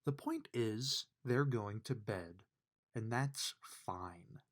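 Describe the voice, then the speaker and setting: A man reading a rhyming children's bedtime book aloud with measured, emphatic storytelling delivery. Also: on the low side, lively intonation. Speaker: storybook reader.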